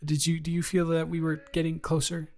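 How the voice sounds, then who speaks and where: A man's voice: fairly high pitch, monotone, a little fast, natural voice. A young man playing a nervous, lovestruck best friend in an improvised romantic roleplay audio, rambling and stammering as he speaks to the listener. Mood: animated, teasing.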